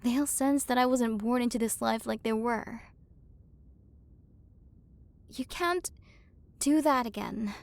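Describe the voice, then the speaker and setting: Casting: actress for a haughty warrior queen, tsundere and easily flustered, secretly tender, higher-pitched female voice, for romantic ASMR roleplay. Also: measured pace, creaky, no echo. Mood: flustered, proud. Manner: haughty but flustered, softening into intimate murmurs. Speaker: voice actor.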